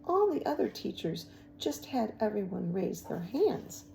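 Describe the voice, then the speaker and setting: A woman's voice: mid-range voice, very animated, unhurried, vocal fry, mellow, slight background noise, full character voice, poor audio. A woman voicing a bossy, indignant schoolgirl in a storybook, whiny and complaining. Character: bossy, indignant schoolgirl who protests loudly.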